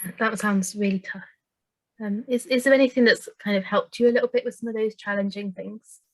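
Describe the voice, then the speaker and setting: A woman interviewing a young carer, asking questions and responding in an encouraging, warm way. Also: mid-range voice, fairly even intonation, brisk, no room sound, rough recording.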